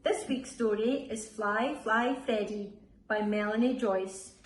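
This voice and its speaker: A woman reading a children's story aloud expressively, deliberately drawing out the dramatic sound words. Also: on the high side. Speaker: school storyteller.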